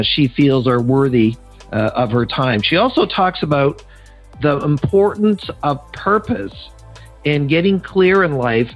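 A man's voice: medium pitch, dull audio. A man hosting a podcast interview, chatty and playfully teasing his guest. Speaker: podcast host.

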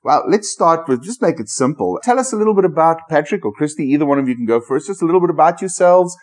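A man's voice: a little high, animated, fast talker. A man hosting a podcast, introducing guests in an enthusiastic, rambling way. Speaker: podcast host. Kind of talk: casual podcast interview.

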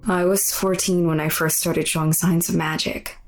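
A young, weary non-binary narrator played by a woman confides in an audio drama monologue. The voice sits low with a frequent gravelly fry, conversational and candid. Music plays clearly underneath. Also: level tone, normal speed, lightly acted. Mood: candid, wistful. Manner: plain, conversational first-person storytelling. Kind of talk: confessional monologue in audio fiction.

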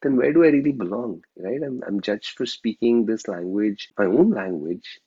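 A man's voice: medium pitch, animated, normal speed, warm tone, slightly rough, muffled recording. A man with an Indian accent, a native Telugu speaker, reflectively telling his own story as an interview guest and often tagging his points with a questioning "right?".

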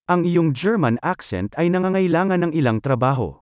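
Man, fairly high and very lively voice, scripted phrase reading for a language course, dry recording. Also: a little fast, clean tone, dry recording. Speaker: Filipino phrase reader.

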